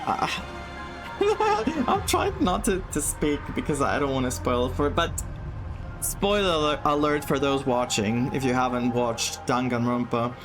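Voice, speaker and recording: A man's voice: mid-range voice, animated, measured pace. A man commenting casually on a game playthrough, English not his first language, rambling and searching for words. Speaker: gaming streamer. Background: game music.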